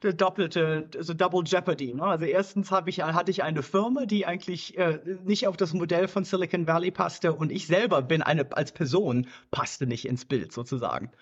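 A woman's voice: fairly low pitch, moderate pace, few pauses, breathy edge. A woman in her fifties from Germany whose German carries an American English accent, speaking as a podcast guest over a video call, searching for words with many fillers and restarts and slipping into English. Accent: German with American English influence. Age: 50s. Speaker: guest, startup founder. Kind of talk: casual podcast interview. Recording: muffled video-call audio.

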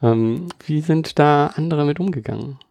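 A man interviewing a guest in a relaxed way, with some fillers and restarts. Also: on the high side, moderate pace, gravelly, no echo. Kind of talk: casual interview.

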